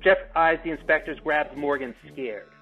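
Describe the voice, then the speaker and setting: Male script narrator, higher-pitched, husky, muffled call audio, reading stage directions. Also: moderate pace. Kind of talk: reading script directions at a remote table read. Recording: dull call audio, music behind.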